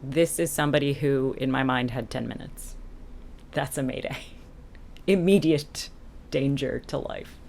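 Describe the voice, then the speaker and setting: Female vlogger, boat-captain type: very deep voice, candid and self-deprecating, casual explainer delivery, noticeable background noise. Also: a little slow, creaky, pauses often, darkish tone. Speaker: boat captain and video host. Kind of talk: casual explanatory vlog to camera.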